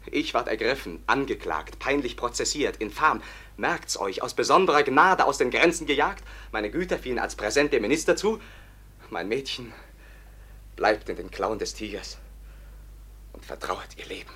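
A man's voice: medium pitch, animated, moderate pace, sharp tone, slightly rough. An actor playing a passionate, wronged young Bohemian nobleman in a radio play, declaiming with earnest desperation. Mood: bitter, outraged. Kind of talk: classic German radio play acting.

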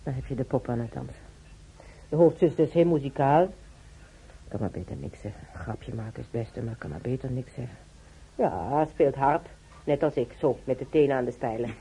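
An actress playing a kindly, resigned elderly woman in hospital in a radio play, musing quietly to herself. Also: very deep voice, moderate pace, breathy edge, full character voice. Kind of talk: Dutch radio play acting. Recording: noisy radio drama recording.

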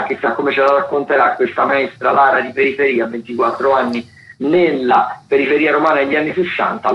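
A man's voice: mid-range voice, muffled recording. A man hosting a radio show, presenting the week's theatre events with many hesitations, fillers and restarts. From Italy. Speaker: radio host. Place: radio studio.

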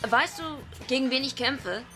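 An actress playing a blunt, defiant, streetwise young female wrestler with a husky, gravelly voice in a radio drama. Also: sits high, expressive, moderate pace, bright, forceful tone, soft background music. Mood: eager. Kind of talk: radio drama acting and first-person narration.

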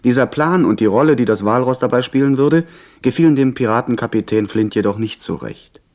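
An actor playing a proud, defiant young man who narrates his sea adventure in an expressive, literary radio play style. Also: mid-range voice, a little fast, natural voice. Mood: earnest narrating.

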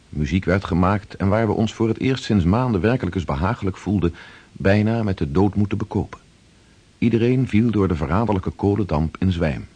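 A man in a radio drama playing a grave seafarer who recounts hardship in measured, scripted narration with a husky voice. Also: a little mellow, no echo. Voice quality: husky, deep.

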